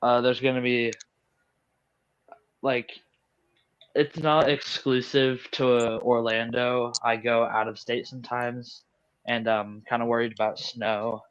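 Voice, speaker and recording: A man from Florida, a guest on a livestream, talking in a hesitant, halting way. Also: mid-range voice, little pitch movement, clean tone, dull audio. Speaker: stream guest. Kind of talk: casual chat.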